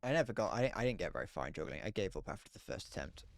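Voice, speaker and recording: Lively rambling young male streamer. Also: sits low, very fast, slight background noise. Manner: rambling, self-deprecating, trails off mid-sentence. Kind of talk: casual chat while gaming.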